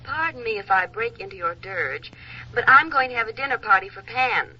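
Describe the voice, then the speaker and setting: Very bright, breezy friend. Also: female voice, a little high, animated, brisk, exaggerated voice. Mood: teasing, brisk. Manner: breezy, teasing. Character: brisk, teasing society friend, dinner-party hostess. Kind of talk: 1940s radio comedy acting. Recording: dull, noisy old radio, telephone scene.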